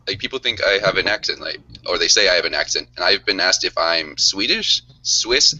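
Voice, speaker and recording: A man's voice: sits low, expressive, fairly quick, sharp tone, slightly rough, dull audio. A man in a casual group chat, making dry jokes, with slightly unusual vowels.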